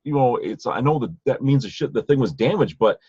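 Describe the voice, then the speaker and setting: A man's voice: mid-range voice, expressive, fairly quick, darkish tone, breathy edge, no room sound. A man co-hosting a podcast, chatting casually in a loose, rambling way, on slightly dull lo-fi audio. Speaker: podcast co-host. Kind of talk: casual chat.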